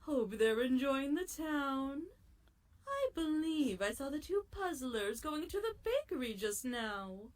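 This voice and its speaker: A woman playing a chipper, theatrical and sweetly polite female ruler in an animated audio drama. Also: high voice, takes it slowly, heavily acted. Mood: gushing, sly. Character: chipper, gushing female ruler of chaos city and her clones.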